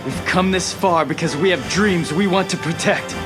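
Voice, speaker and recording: A proud, idealistic hero, voiced by a man in a fantasy game. His fairly high voice is resolute and declarative over music. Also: moderate pace, natural voice. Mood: defiant.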